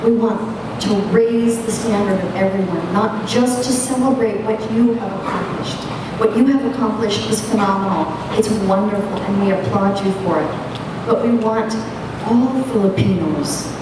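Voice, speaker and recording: A woman teacher educator giving an earnest conference lecture, speaking emphatically and putting questions straight to the audience. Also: normal speed. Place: large echoey lecture hall. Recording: echoey hall, distant mic, rumbling noise.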